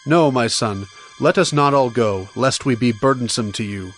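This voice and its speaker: Actor as firm, mid-pitched fatherly king. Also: normal speed, soft background music, lightly acted. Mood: wary, firm. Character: dignified king and father, firm but cautious. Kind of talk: dramatized audio Bible acting.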